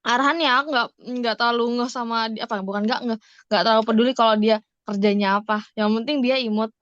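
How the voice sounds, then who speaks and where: A woman's voice: a little high, fairly even intonation, brisk, fairly bright tone, no echo, dull audio. A woman chatting casually and informally as a podcast guest. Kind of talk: casual chat.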